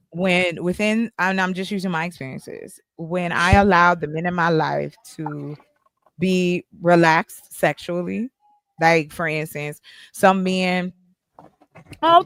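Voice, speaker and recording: A woman's voice: on the low side, clean tone, no echo. A woman in her forties hosting a livestream group chat, blunt and playful, teasing the men. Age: 40s. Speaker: host.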